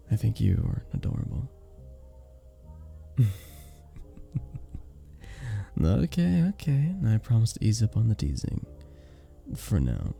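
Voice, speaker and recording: Charming male vampire bartender, acted by a man for ASMR roleplay: lowish, very soft dark tone, teasing and flirtatious, with lingering pauses. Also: vocal fry, exaggerated voice. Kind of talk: scripted ASMR roleplay, addressing the listener.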